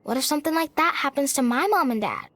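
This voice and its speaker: A woman's voice: high voice, expressive, fast talker, fairly bright tone, no room sound. A woman voicing an anxious, earnest young girl in a video game, confiding her worries. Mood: worried.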